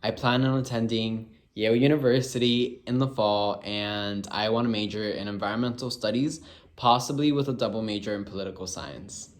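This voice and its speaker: Upbeat young man, low voice. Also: steady tone, normal speed. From Miami, USA. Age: young adult. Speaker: student. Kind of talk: self-introduction.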